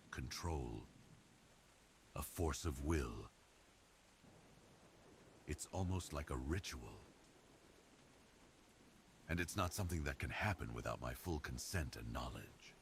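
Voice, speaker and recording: A man playing a devoted, protective werewolf boyfriend in an intimate ASMR roleplay, speaking earnestly and deliberately to reassure his partner. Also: rumbling low, lively intonation, vocal fry, a little hiss or hum, exaggerated voice. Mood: earnest, tender.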